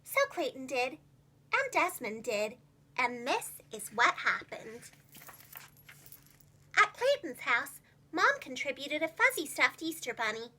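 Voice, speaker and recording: A woman telling a children's story aloud as a preschool teacher, with expressive, carefully enunciated delivery. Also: high-pitched, slow, pauses often.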